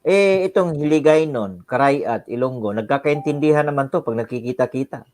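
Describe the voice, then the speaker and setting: A man's voice: mid-range voice, expressive, fast, darkish tone. A man hosting a radio talk show, probing his guest with short questions. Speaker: radio host. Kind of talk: radio interview.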